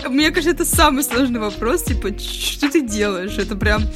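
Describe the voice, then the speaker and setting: A woman's voice: a little high, animated, brisk, over music. A woman in her twenties co-hosting a podcast in casual, slangy, giggly chat. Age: 20s. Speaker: podcast co-host.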